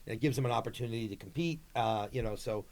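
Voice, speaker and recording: A man's voice: mid-range voice, quick pace, darkish tone. A man speaking as a drama teacher in a casual interview, explaining things in an earnest, conversational way. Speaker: high school drama advisor, guest.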